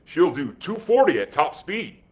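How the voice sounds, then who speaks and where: A man's voice: a little high, big pitch swings, a little slow, voice pushed up. A man voicing a tough American pilot in an adventure story, wary and plain-spoken, with breezy slang.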